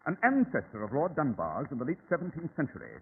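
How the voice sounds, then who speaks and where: A shrewd, grave radio-drama detective with a fairly high, animated voice. His diction is formal and theatrical. The old recording is tinny with some noise. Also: quick pace. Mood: grave, didactic.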